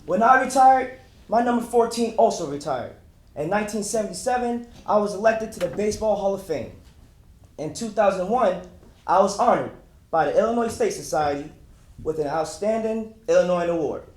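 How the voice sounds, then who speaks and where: A proud retired baseball star declaims his career, played by a man in a staged reading. His high voice carries through an echoing room with a faint noise behind it. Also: expressive, moderate pace, darkish tone. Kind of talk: dramatic reading, first-person monologue.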